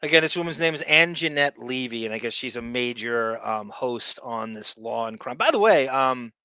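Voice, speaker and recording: A male podcast host with a bright, forward voice, talking in a chatty, opinionated way. The sound is close and dry with no room around it. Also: medium pitch, lively intonation, clear, resonant tone, dry recording. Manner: chatty, opinionated, quick asides. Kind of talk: casual chat reacting to clips.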